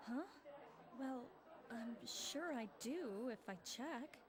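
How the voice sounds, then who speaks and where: A woman's voice: fairly high pitch, slow pace, a crowd in the background. A woman voicing a puzzled, matter-of-fact young woman in a video game cutscene. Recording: music under the voice.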